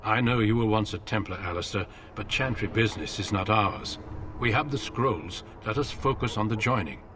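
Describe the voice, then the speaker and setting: Grave low-voiced commander. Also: male voice, lively intonation, fairly bright tone, a little hiss or hum. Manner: measured, formal, authoritative. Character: grave, commanding veteran warrior order leader. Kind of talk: scripted fantasy game dialogue.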